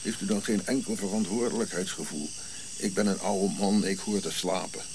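An old prince in a radio play, grumpy and sardonic, in a low voice. He talks curtly with dry irony over clear hiss and insect-like night noise. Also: fairly even intonation, moderate pace. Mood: irritated. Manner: curt, irritable, dry irony. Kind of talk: radio drama acting.

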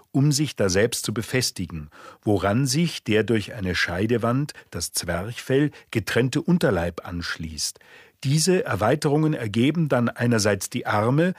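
A man in a radio play reciting an old natural-philosophy treatise in a solemn, measured, formal way. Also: mid-range voice, husky, dry recording, clean studio sound. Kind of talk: scripted literary reading in a radio drama.

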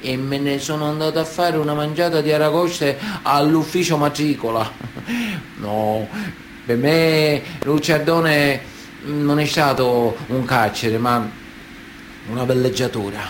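An actor playing a boastful Sicilian mafioso telling prison tales colloquially in a radio drama monologue. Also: male voice, on the high side, unhurried, slight background noise, natural voice. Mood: amused, indignant. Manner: colloquial, storytelling, cocky.

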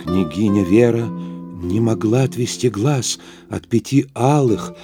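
A man narrating a literary audio drama in a measured storytelling manner. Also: on the low side, music in the background.

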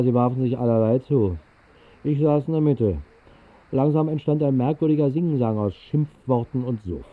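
An actor playing a wry vagrant poet, narrating in a dry, measured way in a 1960s literary radio drama. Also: male voice, medium pitch, close to an everyday voice. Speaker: first-person narrator. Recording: thin, phone-like old radio recording.

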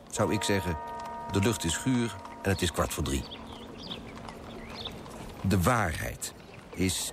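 An actor playing a pompous, self-righteous coffee broker who moralises emphatically in a radio drama monologue. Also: male voice, low-pitched.

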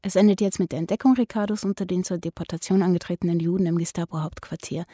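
A woman's voice: fairly low pitch, fairly even intonation, quick pace, mellow, breathy edge, no room sound, studio quality. A woman reading announcements and press text aloud.

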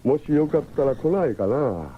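Coaxing villainous recruiter, animated. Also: male voice, medium pitch, normal speed, slightly rough. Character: smooth-talking villainous recruiter posing as helper. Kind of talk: 1970s radio drama acting. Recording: tinny noisy 1970s radio.

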